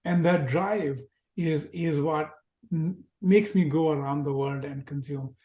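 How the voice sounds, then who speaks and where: Indian-accented male teacher, mellow and a touch high, lecturing gently in a roomy echo on a video call. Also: unhurried. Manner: reflective, gentle, with rhetorical questions. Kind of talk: spiritual lecture to an online class.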